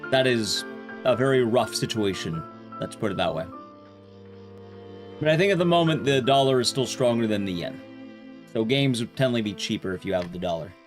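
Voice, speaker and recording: A man's voice: medium pitch, animated, normal speed, pauses often, faint music behind. A man livestreaming a game, chatting casually and addressing his viewers as he plays. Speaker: livestreamer and speedrunner. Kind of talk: casual livestream chat while playing a game.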